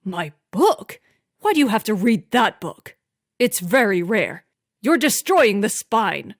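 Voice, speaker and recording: Woman doing a fussy possessive husband, loud, scolding, dry sound. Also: mid-range voice, sing-song, slow pace, dry recording, full character voice.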